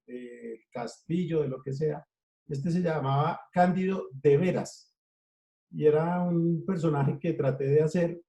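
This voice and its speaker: A middle-aged Colombian man with a Colombian accent, telling an unhurried story in an interview and listing things in rhythmic runs. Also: on the high side, dark tone. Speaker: interviewed cartoonist. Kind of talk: relaxed storytelling. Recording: echoey room.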